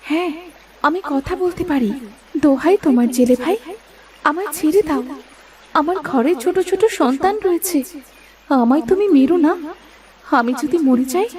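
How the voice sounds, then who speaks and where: A woman's voice: high voice, animated, normal speed, long pauses, crowd noise. A woman voicing an imploring talking mother prawn in a fairy-tale cartoon. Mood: pleading. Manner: imploring, entreating. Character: pleading talking mother prawn, caught in a net. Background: light music.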